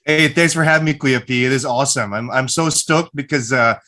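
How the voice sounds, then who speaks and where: Bright-voiced filmmaker guest from the Philippines. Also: male voice, medium pitch, fairly quick. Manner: easygoing and nerdy, laughing, with many restarts and "like"s. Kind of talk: casual interview over video call. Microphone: video call.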